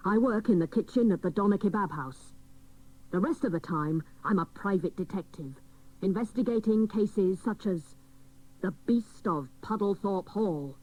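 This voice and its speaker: A dotty female private detective , played by an actress narrates a comic radio play. Her mid-pitched voice is deadpan and mock-dramatic, spoofing hard-boiled crime stories. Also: normal speed, lightly acted, rough recording.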